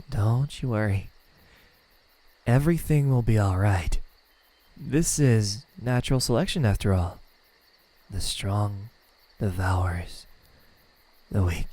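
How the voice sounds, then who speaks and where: A man voicing a smug, menacing yet melancholic demon in an ASMR roleplay, speaking in a hushed, taunting way. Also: fairly low pitch. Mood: soothing, then coldly musing. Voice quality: breathy, slipping into whispers. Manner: slow, hushed, taunting delivery with pauses.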